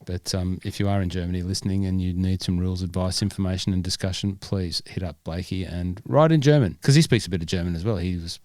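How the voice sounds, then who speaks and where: A man's voice: deep voice, steady tone, fast talker, hardly any pauses, a little mellow, no echo, clean studio sound. A man co-hosting a golf podcast, chatting in a rambling, self-deprecating way. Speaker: podcast co-host. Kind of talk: casual podcast chat.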